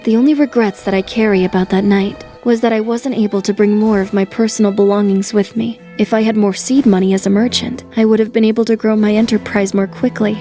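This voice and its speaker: Woman voicing an earnest, mellow young anime noblewoman. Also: medium pitch, steady tone, brisk, few pauses, natural voice. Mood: determined. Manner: earnest, polite. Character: earnest young noblewoman, resilient, polite heroine. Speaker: voice actor in an anime fan dub. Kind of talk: anime dub acting with inner narration. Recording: faint music behind. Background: faint music.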